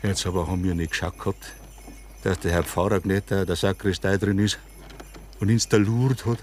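A man's voice: sits low, steady tone, darkish tone, a little hiss or hum, lightly acted. An actor playing a middle-aged Bavarian man who drives his old friend's coffin home. He tells stories with hearty relish and laughs easily, in a 1960s radio play. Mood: reminiscing. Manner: hearty storytelling, quick to laugh.

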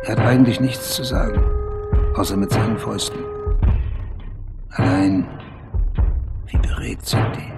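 Cutting critic, lowish voice, measured n scornful, radio play monologue w loud music behind. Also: male voice. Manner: measured, rhetorical repetition. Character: cutting, literary male critic delivering a scornful portrait.

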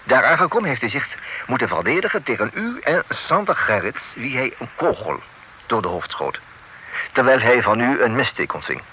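A man's voice: medium pitch, very animated, moderate pace, sharp tone. An actor with a French accent playing a worldly, wry male official in a radio play, dry and knowing, chuckling and dropping French words into his speech. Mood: matter-of-fact. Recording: old radio recording with faint hiss.

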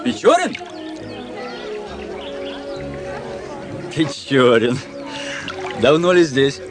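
A man's voice: medium pitch, very animated, slow, crowd noise. An actor playing a vain, embittered young military cadet in a radio drama, pompous and affected in his declamation. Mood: hearty, eager. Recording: radio play with prominent music behind. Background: music.

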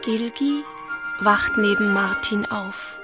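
A woman playing a brisk inner-voice narrator giving terse, pointed comments in a radio drama chorus. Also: mid-range voice, over loud music, natural voice. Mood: observant.

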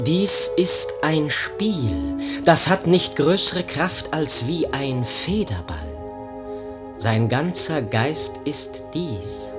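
A theatrical prologue speaker, declaiming verse to lords and ladies over music. The delivery is slow and deliberate, with widely swinging pitch. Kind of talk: verse prologue in a radio drama.

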